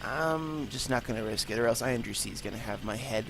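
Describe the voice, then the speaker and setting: A man's voice: mid-range voice, a little fast, creaky, background noise. Rambling congested gaming commentator man. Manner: rambling, chatty, self-mocking asides. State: congested from allergies. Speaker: gaming channel host. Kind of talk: let's play commentary. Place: room with no acoustic paneling.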